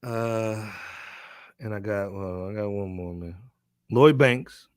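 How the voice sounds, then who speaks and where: A man's voice: lowish voice, slow pace, clean tone, no room sound, clean studio sound. A man hosting a podcast in casual hip hop discussion, with a slangy, conversational delivery peppered with asides. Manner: slangy, animated asides, frequently says "man". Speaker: podcast host.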